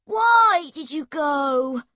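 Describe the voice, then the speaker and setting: An anxious small boy from a storybook, played by a woman narrator, pleading and defiant. The voice is very high and forceful, with big swoops in pitch and an urgent delivery. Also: animated, extremely slow, no room sound, exaggerated voice. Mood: begging.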